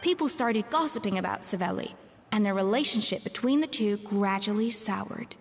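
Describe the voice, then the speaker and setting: A woman's voice: medium pitch, animated, lightly acted. An actress voicing a proud, troubled young female chef in a video game, reflective and articulate. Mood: somber, reflective. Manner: measured, articulate, pensive storytelling. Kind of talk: scripted video game voice acting. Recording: long reverb, music behind. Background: game music.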